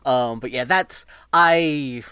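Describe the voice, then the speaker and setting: A man's voice: medium pitch, slow, clear, resonant tone, dry recording, studio quality. A man in his forties, a guest on a podcast, chatting in a rambling way that keeps breaking off and restarting. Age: 40s. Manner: rambling, lively and self-interrupting. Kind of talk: casual interview chat.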